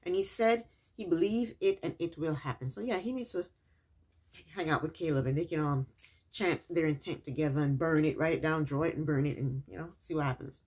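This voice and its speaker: A woman's voice: deep voice, fairly quick, darkish tone, husky. A woman recapping a reality TV episode in a casual, chatty way, with sarcastic asides and repeated punchlines.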